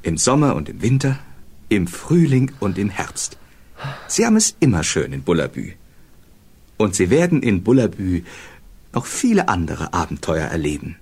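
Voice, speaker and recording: Give this one to a low-voiced storyteller reading an idyllic children's country tale for an audio drama. His delivery is scripted and melodic, moving expressively up and down, and the tone is husky. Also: huge pitch swings, normal speed, darkish tone, full character voice. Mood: gentle, storytelling. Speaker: narrator.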